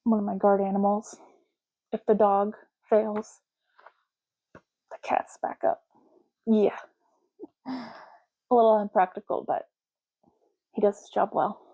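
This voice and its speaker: A soft-spoken woman hosting an ASMR roleplay, talking in an unhurried, rambling way as if privately to the listener. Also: mid-range voice, level tone, dull audio.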